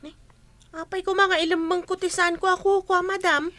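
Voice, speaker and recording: A woman's voice: very high voice, little pitch movement, moderate pace, pushed and bright, dry recording. A woman playing a plucky, cheeky housemaid who talks back, in a radio drama. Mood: protesting.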